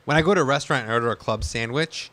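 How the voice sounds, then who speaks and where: A man's voice: medium pitch, very fast, a bit bright. A man co-hosting and producing a podcast, teasing his co-host and arguing back, with some swearing. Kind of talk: casual chat.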